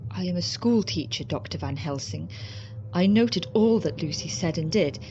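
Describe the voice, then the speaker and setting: An actress playing a worried, devoted young schoolteacher wife in a radio drama, proper and earnest in manner. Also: female voice, lowish voice, expressive, moderate pace, dark tone. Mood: polite, worried. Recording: dull sound with faint background noise.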